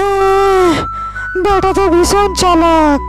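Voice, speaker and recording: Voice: very high voice, steady tone, slow, music in the background. A performer voicing a greedy village land seller in a cartoon, demanding and domineering. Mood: thwarted. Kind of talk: Bengali cartoon character dialogue.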